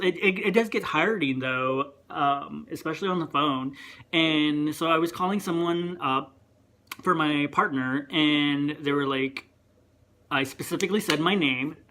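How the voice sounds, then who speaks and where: Chatty young man with a higher voice. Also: pushed and bright. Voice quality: higher voice, sometimes heard as female. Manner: rambling, chatty, self-interrupting. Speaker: vlogger. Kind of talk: casual rant to camera.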